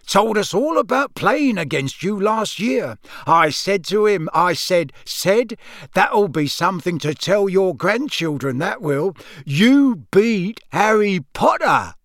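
A man voicing a genial, boastful middle-aged wizard father in an audiobook, with a booming, hearty, colloquial way of talking. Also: sing-song, slow, a bit bright, no echo, studio quality, full character voice. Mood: proud, boastful.

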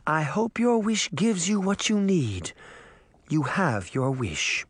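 A man voicing a gentle, calm female fairy in a storybook, speaking softly and yieldingly. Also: fairly high pitch, breathy edge, dry recording, pitched above the performer's own voice, full character voice. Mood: gentle, resigned. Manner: soft, measured.

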